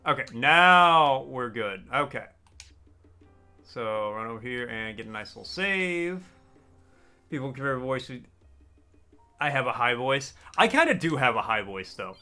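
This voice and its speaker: A man live-streaming a game, chatting casually with his viewers in a deliberately raised, customer-service-style register. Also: medium pitch, very animated, bright, forceful tone, faint music behind. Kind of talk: casual live-stream chat while gaming.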